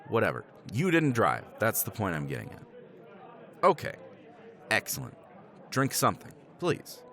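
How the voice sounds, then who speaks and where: A man's voice: lowish voice, wildly swooping pitch, moderate pace, fairly bright tone. Man playing a tipsy, rambling middle-aged professor. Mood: persuasive. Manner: rambling, chuckling, swears casually. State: tipsy from scotch. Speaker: roleplay character. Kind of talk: ASMR romance roleplay.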